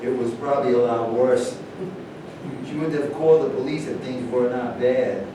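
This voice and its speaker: A man's voice: fairly even intonation, moderate pace, natural voice. A man in a staged play reading, playing a skeptical, disapproving character who challenges his peers. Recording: very echoey distant room, rumbling noise.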